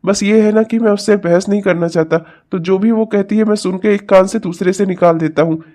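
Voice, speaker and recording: A high-pitched male voice of a kindly older family patriarch, acted by a man. He reasons and placates in the melodramatic style of a domestic story. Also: steady tone, brisk, natural voice. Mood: reassuring. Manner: reassuring, gently reasoning. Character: placating, kindly older Indian father-in-law.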